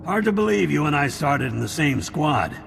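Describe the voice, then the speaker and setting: Gravelly, lively army general. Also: male voice, a little high, normal speed, a little hiss or hum, heavily acted. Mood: bluff, commanding. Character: bluff, commanding male army general, old comrade. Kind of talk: video game voice acting. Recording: reverberant hall, music behind.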